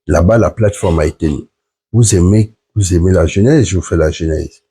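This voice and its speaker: A man's voice: low-pitched, fairly quick, dark tone, husky. A man, sick with the flu, earnestly explaining point by point to viewers in a live stream. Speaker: platform member hosting a live stream.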